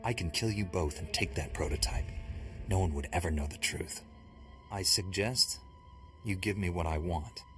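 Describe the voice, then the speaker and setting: An actor playing a stern, commanding Jedi Knight in a video game, terse and formally controlled. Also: male voice, sits low, moderate pace, fairly bright tone, soft background music. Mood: cold, threatening.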